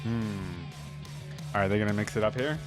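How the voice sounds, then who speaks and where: A man's voice: lowish voice, normal speed. A man hosting a reaction video in a casual, slangy, chatty and enthusiastic way. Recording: music playing behind the voice. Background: music from the videos being watched.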